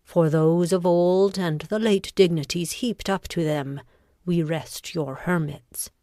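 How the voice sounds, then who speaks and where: A woman playing a ruthless, ambitious noblewoman in a deliberate, intense Shakespearean audiobook performance. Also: lowish voice, steady tone, warm tone, no room sound, studio quality. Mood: courteous. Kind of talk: dramatic Shakespearean audiobook reading.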